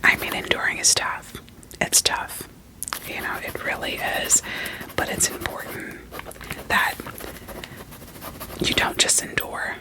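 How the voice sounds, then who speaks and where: A person whispering an ASMR roleplay as a doting, quirky therapist, soothing and reassuring with gentle questions. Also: takes it slowly, long pauses, rough recording. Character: doting, quirky therapist evaluating a vampire client.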